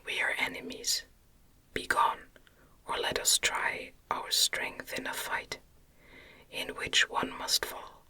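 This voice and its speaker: A narrator voicing a furious, scornful male creator of a monster in a breathy ASMR whisper, with archaic, accusing speech. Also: takes it slowly, bright, forceful tone. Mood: enraged. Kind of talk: whispered ASMR audiobook acting.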